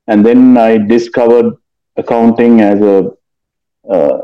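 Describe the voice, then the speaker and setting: Middle-aged Sri man, lower voice, measured and hesitant, dull lo-fi recording. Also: steady tone. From Sri Lanka. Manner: measured, hesitant with frequent fillers. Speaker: guest, finance executive and author. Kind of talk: casual interview answers.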